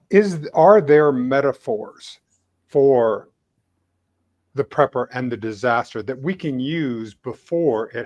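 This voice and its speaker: A man with a mid-range voice hosts a conversation. He speaks reflectively and deliberately, searching for his phrasing and then landing on his points with emphasis. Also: mid-range voice, a little mellow. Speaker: interviewer, conversation host.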